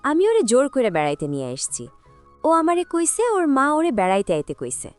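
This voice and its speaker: A chatty little village schoolgirl in an audio story, bold and insistent. The voice is high and wildly animated, loud, with a rural Bangladeshi accent. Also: moderate pace, clear, resonant tone, no room sound, faint music behind. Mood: chatty, pleading.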